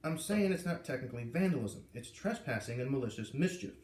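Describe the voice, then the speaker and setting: A man's voice: medium pitch, expressive, brisk, a little mellow, close to an everyday voice. Man doing a storybook cop, earnest and folksy, curious, voice goes up and down, echoey room. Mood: explaining. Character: plain-spoken, mild, curious policeman. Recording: echoey room, far from the mic.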